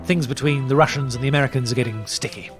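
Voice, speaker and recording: Actor as a brisk, commanding prime minister. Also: male voice, medium pitch, lightly acted. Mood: breezily commanding. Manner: breezy, commanding, dismissive. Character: brisk, self-assured male prime minister, slyly domineering. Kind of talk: historical audio drama. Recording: music bed behind the voice.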